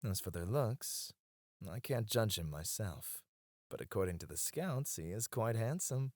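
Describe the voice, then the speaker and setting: A man voice acting a scheming, devoted royal advisor in an ASMR roleplay, soft-spoken and teasing, dropping to a hush when he addresses his queen. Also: fairly low pitch, expressive, measured pace, no room sound, clean studio sound. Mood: teasing, confiding. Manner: teasing and confiding. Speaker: voice actor.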